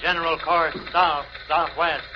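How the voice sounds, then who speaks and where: A man's voice: a little high, little pitch movement, unhurried, lightly acted. An actor playing a formal, clipped male military missile-detection announcer in a 1950s radio drama. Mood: impassive. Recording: noisy, muffled old radio recording.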